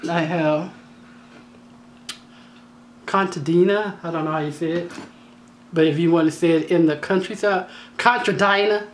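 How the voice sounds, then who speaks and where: A woman with a slightly raspy voice hosting a home cooking tutorial, talking in a chatty, down-to-earth way with joking asides. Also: fairly low pitch, steady tone, a little slow, long pauses, a little hiss or hum. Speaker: cooking channel host. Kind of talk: casual cooking tutorial voice-over. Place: home kitchen.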